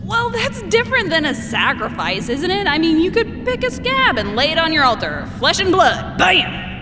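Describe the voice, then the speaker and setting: Sassy captive woman, comedic audio play: high-pitched, animated, argumentative and put out. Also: moderate pace. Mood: sassy, arguing. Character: sassy indignant woman held captive as a sacrifice. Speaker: abducted show host in audio play. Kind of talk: comedic audio drama acting. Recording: long hall reverb with music behind.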